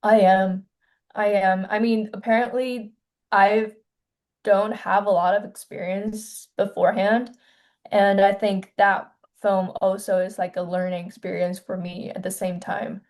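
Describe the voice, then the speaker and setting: Soft-spoken young woman from China. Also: medium pitch, little pitch movement. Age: 20s. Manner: soft-spoken, hesitant, many pauses and restarts. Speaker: filmmaker guest. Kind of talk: casual interview.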